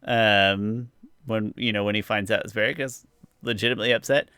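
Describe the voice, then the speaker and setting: A man's voice: on the low side, fairly even intonation, a little fast, sharp tone, clean tone, no room sound, clean studio sound. A man co-hosting a podcast, chatting casually and with opinions about a TV show. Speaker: podcast co-host. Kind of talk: casual TV review chat.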